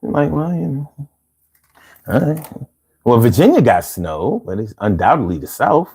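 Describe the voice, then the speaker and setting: A man's voice: medium pitch, very animated, normal speed, creaky, warm tone, breathy edge. A man hosting a casual live stream, reading out viewer comments with laid-back, chuckling talk, from the United States.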